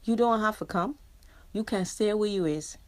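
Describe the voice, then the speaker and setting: A woman's voice: mid-range voice, moderate pace, darkish tone, no echo. A middle-aged woman with a Barbadian accent, a poet reading her dialect poems with rhythm and then talking about her book as a radio guest. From Barbadian family heritage, living in England. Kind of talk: poetry reading and radio interview.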